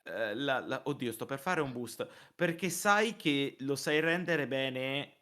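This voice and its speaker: An Italian man chatting casually in an excitable way, often repeating phrases in bursts. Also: medium pitch, takes it slowly. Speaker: voice performer in a live reading stream. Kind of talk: casual post-show debrief chat.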